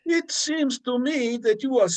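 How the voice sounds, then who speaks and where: A woman's voice: high voice, measured pace, darkish tone. A woman playing a solemn, formal Frenchman, an indignant companion to a marquis, in a radio play. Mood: baffled, suspicious. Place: remote reading over a video call.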